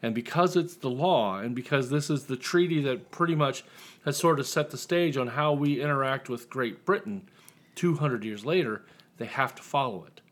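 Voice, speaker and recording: A man's voice: mid-range voice, normal speed. A man chatting casually as an author guest in an interview, telling his story in a wry, dry way.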